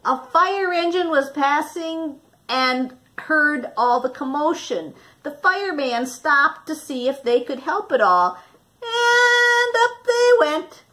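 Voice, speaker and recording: A woman reading a children's picture book aloud expressively, with dramatic pauses and questions for young listeners, like a teacher. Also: high-pitched, lively intonation, bright, forceful tone.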